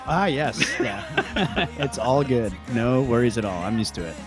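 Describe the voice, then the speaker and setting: A man's voice: mid-range voice, very animated, a little slow, music in the background. A man from Pennsylvania, speaking casually as an interview guest and band frontman. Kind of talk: casual chat.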